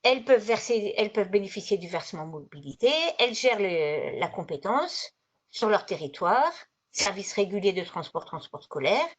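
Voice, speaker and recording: Female online trainer, mid pitch, conversational and explanatory, rephrases often, lo-fi conference-call audio. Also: animated, moderate pace. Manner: didactic, conversational, rephrases as she goes. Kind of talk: informal slide presentation, explanatory. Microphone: video conference microphone. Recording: dull, lo-fi video call audio.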